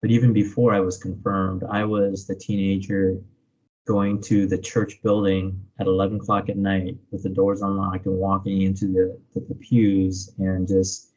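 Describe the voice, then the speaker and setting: A man's voice: deep voice, monotone, normal speed, a little mellow. A man speaking reflectively in an interview over a video call, searching for his words and often checking in with "right?". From born in Vietnam, grew up in America from age five. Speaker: interview guest, spiritual practitioner. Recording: dull, lo-fi online call audio.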